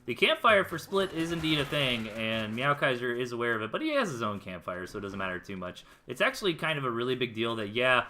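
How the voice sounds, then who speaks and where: Bright, punchy American male game caster. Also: medium pitch, big pitch swings, fast, few pauses, slight background noise. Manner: conversational, slangy, explanatory. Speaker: esports replay caster. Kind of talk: live game commentary, casual. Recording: game music behind the voice.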